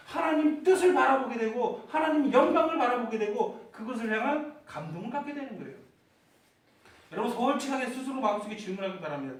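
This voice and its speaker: A man preaching a sermon as pastor, asking the congregation questions and rising to fervent, shouted prayers. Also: very high voice. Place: church sanctuary. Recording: echoey church hall heard from far off.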